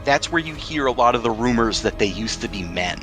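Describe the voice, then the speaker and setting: A man's voice: medium pitch, expressive, a little fast, over music. A man narrating a tabletop roleplaying game as game master, switching between dramatic description and casual rules talk.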